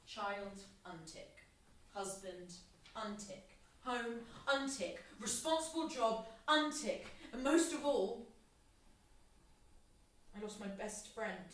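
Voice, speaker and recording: A woman's voice: fairly high pitch, lively intonation, slow pace, fairly bright tone. A woman playing a bitter, grieving mother in a naturalistic drama, speaking in a pointed, cutting, sardonic way to a former friend. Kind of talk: naturalistic two-hander drama dialogue. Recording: distant, very echoey room with faint noise.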